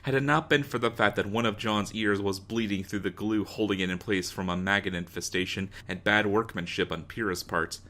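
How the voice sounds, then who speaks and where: A man's voice: lowish voice, fairly even intonation, a little fast, hardly any pauses, bright, forceful tone. A man reading a story aloud as a dramatic narrator, in a mock-serious, theatrical manner. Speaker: narrator of a fan fiction reading.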